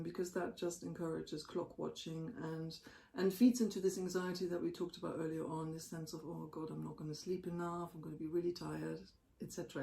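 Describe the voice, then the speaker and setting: A woman giving sleep advice in a casual chat, her voice going hoarse, often clearing her throat. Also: lowish voice, a little mellow, reverberant. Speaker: co-host.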